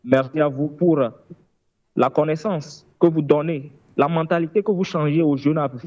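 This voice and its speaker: A man's voice: on the high side, fairly even intonation, normal speed, darkish tone, no room sound. Emphatic man in his thirties, muffled line. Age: 30s. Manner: emphatic, rising to insistent repetition. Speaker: caller. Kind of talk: impassioned political call-in.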